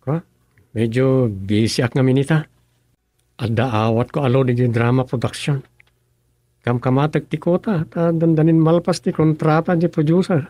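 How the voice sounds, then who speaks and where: A man's voice: mid-range voice, fairly even intonation, normal speed, warm tone, no echo, clean studio sound, close to an everyday voice. An actor with an Ilocano accent playing an easygoing, busy rural councilman in a radio drama, talking conversationally with frequent interjections. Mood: casual, reluctant. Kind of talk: radio serial drama acting.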